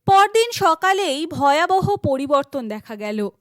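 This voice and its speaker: A woman narrates a children's fairy tale from a script. Her voice is high, and the recording is dry, with no room sound. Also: very animated, a little slow, no echo.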